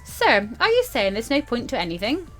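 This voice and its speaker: A woman's voice: fairly high pitch, wildly swooping pitch, moderate pace, pitched above the performer's own voice. A woman voicing a young female student in a visual novel, flippant, easily bored and flustered, with a hesitant, whiny, rambling manner. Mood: hesitant, questioning. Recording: music behind the voice.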